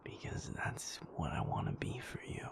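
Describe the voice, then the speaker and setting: A man playing a tender, protective werewolf partner in an intimate ASMR roleplay, speaking gently and haltingly in a breathy, gravelly near-whisper. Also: lightly acted. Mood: earnest, loving. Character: tender, protective male werewolf partner comforting a sleepless lover.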